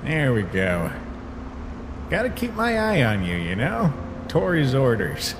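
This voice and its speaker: A man voicing a weary, gentle skeleton monster in a comic dub, speaking in a halting, resigned way. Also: medium pitch, huge pitch swings, noisy background. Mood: gentle, tired. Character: weary, guilt-ridden male skeleton monster, protective and gentle. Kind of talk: dramatic comic dub voice acting.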